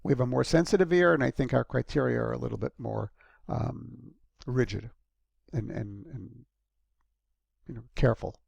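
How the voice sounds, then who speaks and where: Gravelly-voiced male lecturer, dry close sound. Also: medium pitch, normal speed, pauses often, a little mellow, dry recording. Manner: conversational, frequent ums and restarts. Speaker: university lecturer. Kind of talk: recorded course lecture.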